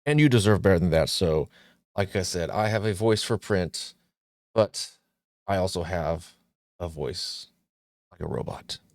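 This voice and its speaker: A man's voice: low voice, lively intonation, unhurried, long pauses, a little mellow, no room sound, clean studio sound. A man hosting his own movie talk show, chatting casually with jokes and plenty of restarts. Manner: joking, rambling, frequent restarts and fillers.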